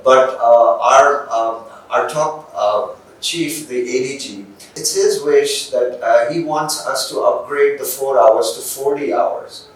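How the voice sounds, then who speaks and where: A man conducting an interview, asking questions and commenting in measured, articulate phrases. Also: medium pitch. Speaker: interviewer, police academy staff. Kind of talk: interview questions and conversational remarks. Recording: noisy echoey room, distant microphone.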